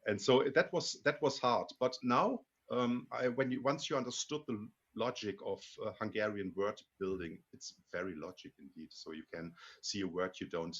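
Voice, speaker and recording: Guest spot: a German-accented man, low and husky, stop-start delivery with a lot of self-corrections, over a dull lo-fi recording. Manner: halting, many restarts and repeated words. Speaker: interview guest, Hungarian learner. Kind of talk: casual interview conversation.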